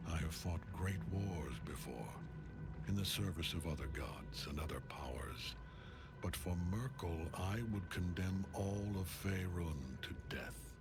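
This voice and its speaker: A grim older general, a villain from a fantasy game. His voice is low and he speaks slowly, with solemn, grandiose menace over loud dramatic music. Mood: cold, menacing. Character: grim old male general, fanatic undead-raising death cultist. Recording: cinematic music behind the voice.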